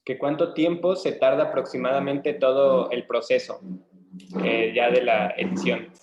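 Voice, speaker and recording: A young man moderating an informal interview, asking questions in a hesitant, halting way. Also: mid-range voice, steady tone, noisy background. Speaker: student moderator relaying audience questions. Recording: dull, echoey webinar audio.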